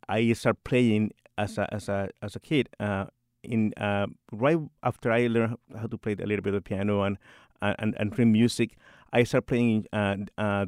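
A man's voice: lowish voice, darkish tone, no room sound, clean studio sound. A middle-aged man from Rico, a composer and church organist talking in a radio interview, hesitant and full of restarts and repeated words. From Puerto Rico.

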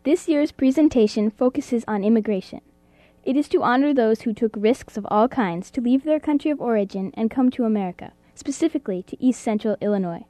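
A woman reading a scripted documentary introduction in an even, formal manner. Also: a little high, a little fast, no echo. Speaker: narrator.

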